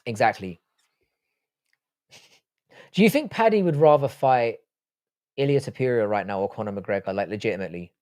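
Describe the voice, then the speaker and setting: A man's voice: lowish voice, expressive, moderate pace, pauses often, darkish tone, dry recording, studio quality. A young man, raised in the UK, hosting a live stream and answering viewer questions in blunt, profane, joking chat. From born in Ireland, grew up in the UK. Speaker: livestream host.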